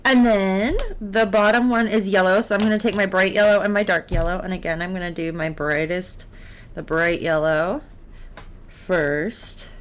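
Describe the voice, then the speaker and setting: A woman teaching art in a casual step-by-step drawing tutorial, encouraging and unhurried as she talks through each step while she works. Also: mid-range voice, steady tone. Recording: clear background noise, drawing sounds.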